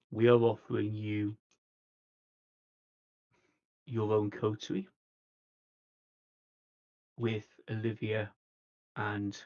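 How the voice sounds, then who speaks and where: A man playing an earnest, diplomatic vampire envoy in a tabletop roleplay, speaking in a measured, formal, persuasive way. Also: on the low side, a little mellow. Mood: frank. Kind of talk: in-character tabletop roleplay dialogue. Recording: dull lo-fi livestream audio.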